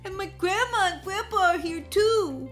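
A woman's voice: extremely high pitch, moderate pace, a bit bright, soft background music. A woman voicing a proud, eager little preschool boy who talks in a childlike way.

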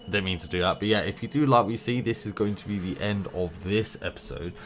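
A man's voice: low voice, fast, a bit bright. A young man with a runny nose giving rambling, playful commentary while playing a game. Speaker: gameplay streamer. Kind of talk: casual gameplay commentary. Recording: noisy game sound behind voice.

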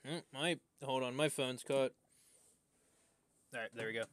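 A man's voice: mid-range voice, a little slow, fairly bright tone, a little hiss or hum. A man streaming a game with casual live commentary, exclaiming when things go wrong. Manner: reads game lines aloud, exclaims at setbacks.

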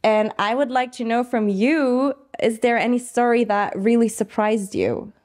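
A woman's voice: a little high, animated, darkish tone. Young woman interviewer with handheld mic. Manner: brisk, friendly questioning with short backchannels. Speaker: radio interviewer. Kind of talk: interview questions. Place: backstage lounge at a festival, indoors.

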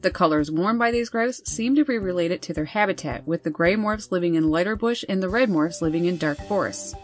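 Woman, nature documentary voice-over, lowish pitch, measured reading, faint background music. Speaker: birding channel host. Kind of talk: scripted nature documentary narration. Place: voice-over over wildlife footage.

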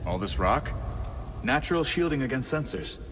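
A man's voice: medium pitch, very animated, normal speed, long reverb tail, noisy background, close to an everyday voice. A man playing an easygoing, practical mercenary ally in a video game, talking casually and amiably. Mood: casual, admiring. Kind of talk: cinematic video game acting.

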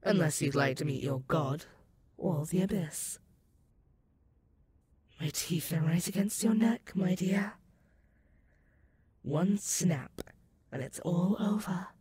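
A woman's voice: lowish voice, a little mellow, slight background noise. A woman playing an ancient, sadistic and possessive female werewolf demon in a roleplay audio, purring menace and mocking pet names at the listener. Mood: threatening. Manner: slow, teasing, purring menace with mocking endearments.

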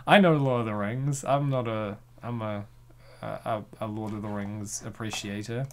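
A man's voice: lowish voice, steady tone, normal speed. A man hosting a comic reading, giggling often and rambling with lots of fillers. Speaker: host reading a comic dub. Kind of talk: casual chat.

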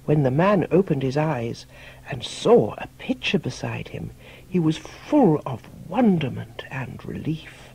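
A man's voice: on the high side, very animated, unhurried, vocal fry, mellow, slightly rough, rough recording. A man reading a short fable as a storyteller, in scripted audiobook narration.

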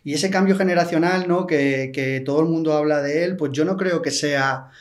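A man in his thirties from Toledo, a podcast guest talking casually and relaxed, often repeating his words, at a boom-arm studio mic in a small studio. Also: normal speed. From Toledo, Spain. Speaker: podcast guest, hunting platform founder. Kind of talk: casual interview talk. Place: small studio with wood panels and a plant. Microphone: large studio mic on a boom arm, headphones.

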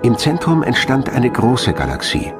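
A man's voice: mid-range voice, big pitch swings, moderate pace. A man reading scripted documentary narration. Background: music.

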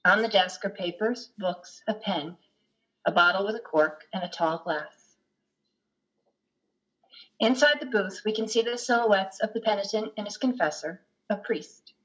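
A woman's voice: mid-range voice, a little slow, a bit bright, lo-fi sound. A middle-aged woman reading scripted narration and stage directions for a play over a video call. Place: lamp-lit home room, video call. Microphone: computer mic on video call.

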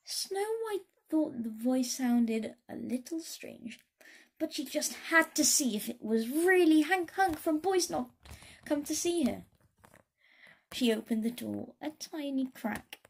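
A woman storyteller giving an expressive read-aloud of a children's story. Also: high voice, slow, vocal fry.

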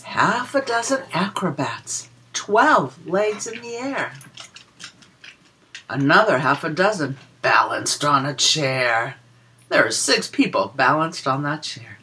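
A woman reading a picture book aloud to young children in a sing-song, deliberate way, explaining and counting as she goes. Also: sits low, sing-song, pushed and bright, a little hiss or hum. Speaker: children's story reader and teacher.

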